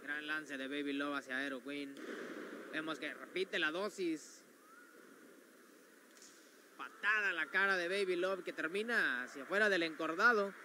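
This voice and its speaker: A man's voice: on the high side, measured pace, pauses often, background noise. A man calling wrestling moves play by play as they happen, in a gym arena. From Mexico. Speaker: wrestling commentator. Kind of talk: play-by-play commentary.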